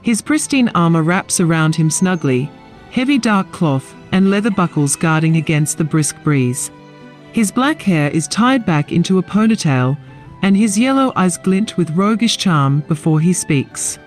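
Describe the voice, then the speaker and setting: A man's voice: sits high, animated, measured pace, soft background music. A man narrating a fantasy audio drama, reading scripted scene descriptions as a storyteller.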